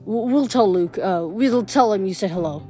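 A woman's voice: mid-range voice, animated, normal speed, warm tone, close to an everyday voice. Young boy sidekick, storybook female reader: earnest, clipped, urgent, music underneath.

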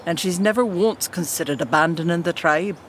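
A woman's voice: fairly low pitch, a little fast, a little hiss or hum, natural voice. A woman playing an imperious monarch and parent in a comedic fantasy audio drama, formal and stern. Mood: stern, dismissive.

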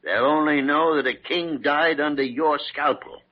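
An actor playing a gruff, devoted old senior doctor in a vintage radio drama, with a blustery, exasperated manner. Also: male voice, fairly high pitch, moderate pace, natural voice. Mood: worried, grudging. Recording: muffled old radio recording.

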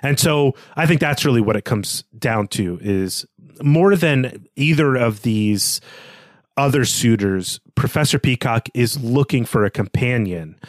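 A man's voice: medium pitch, expressive, a little slow, no room sound. Mid-voiced man musing, dry sound. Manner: speculative, reasoning step by step. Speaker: podcast co-host. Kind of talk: conversational literary discussion podcast.

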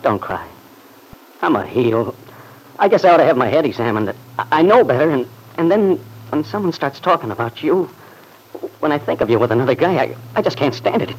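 An actor playing a jealous, hot-blooded young husband in an old-time radio drama, brooding and intense, talking in plain colloquial speech. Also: male voice, fairly high pitch, sing-song, brisk, husky, exaggerated voice. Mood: remorseful, intense. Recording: noisy vintage radio recording.

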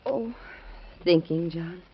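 An actress playing a troubled wife in an old radio drama, speaking softly and hesitantly. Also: female voice, fairly low pitch, takes it slowly, a little hiss or hum. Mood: anxious. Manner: soft, hesitant. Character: troubled young wife, gossip's target. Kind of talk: 1940s radio acting. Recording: dull lo-fi old radio recording.

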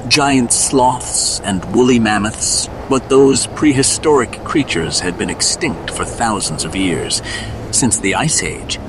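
A man's voice: medium pitch, animated, slow, noisy background. A man narrating a scripted superhero story in a suspenseful, dramatic storybook style.

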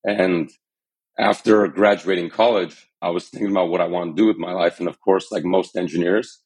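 Low-voiced man telling his founding story. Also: fairly even intonation, darkish tone, low-quality recording. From Armenian, born in Iran, raised in the US. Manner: earnest and measured, some fillers. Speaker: startup founder and CEO, podcast guest. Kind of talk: interview storytelling.

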